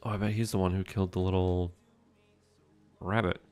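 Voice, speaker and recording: A young man streaming a game from home, chatting with his viewers in a soft, meandering way. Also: low-pitched, little pitch movement, brisk, smooth, soft background music. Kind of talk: casual gameplay commentary.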